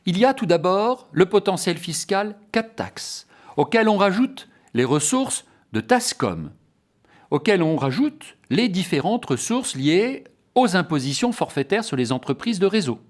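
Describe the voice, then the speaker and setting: A man's voice: high-pitched, very animated, unhurried. A man presenting a formal, scripted explanatory lecture in a didactic, carefully structured way.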